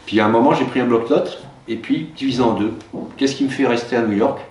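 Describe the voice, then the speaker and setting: A middle-aged man whose native language is Russian, a teacher recalling his life abroad in a hesitant, reflective way, with many pauses and fillers. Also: mid-range voice, a little mellow. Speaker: teacher being interviewed. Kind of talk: reflective personal recollection. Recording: roomy, distant mic with faint ambience.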